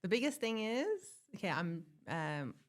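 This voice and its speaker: Woman pilot guest, low voice, lively storyteller. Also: normal speed. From born in Germany, grew up in South Africa. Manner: chatty, laughs often, acts out quotes. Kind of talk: casual interview storytelling.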